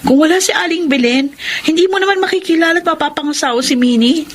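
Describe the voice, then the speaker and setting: An actress playing a wife's frank, scolding friend in a Filipino radio drama, pointed and reproachful. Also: female voice, high-pitched, fast talker, a little hiss or hum, lightly acted.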